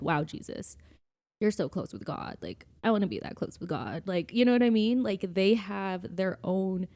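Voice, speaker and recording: A woman's voice: fairly low pitch, dark tone. A woman co-hosting a podcast in casual conversation, speaking in an emphatic, opinionated and informal way. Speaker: podcast co-host.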